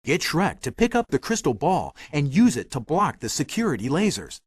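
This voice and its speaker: A man's voice: on the high side, very animated, moderate pace, breathy edge, no room sound, clean studio sound. A man voicing a talking magic mirror in a video game, giving hints in a theatrical, playful, wry way. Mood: helpful, playful. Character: talking magic mirror guide, male, animated and helpful. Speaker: video game hint-giver. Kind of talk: scripted game voice acting, hints and quips.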